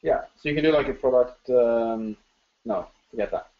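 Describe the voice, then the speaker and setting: A man's voice: medium pitch, normal speed. A man hosting a live webinar Q&A, chatty and enthusiastic, with lots of ums and restarts. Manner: chatty, filler-heavy, enthusiastic. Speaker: webinar host. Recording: dull lo-fi webinar audio.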